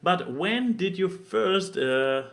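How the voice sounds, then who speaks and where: A man's voice: high voice, animated, slow pace, vocal fry, fairly bright tone. A man co-hosting a casual podcast, meandering and repeating himself as he hunts for words. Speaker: podcast co-host.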